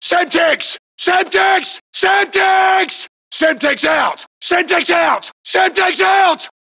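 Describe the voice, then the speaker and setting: An actor playing a tough British SAS soldier in a military shooter game, yelling urgent, clipped battle callouts. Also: male voice, very high voice, extremely slow, vocal fry, some chatter behind, full character voice. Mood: urgent, shouting.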